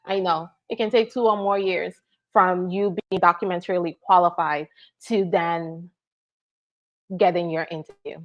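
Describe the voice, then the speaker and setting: A woman from Nigeria, working as an immigration attorney, answers viewer questions in a relaxed live Q&A and keeps checking in with "right?" and "all right?". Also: lowish voice, moderate pace, dull audio. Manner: explanatory, frequent "right?" and "all right?" check-ins.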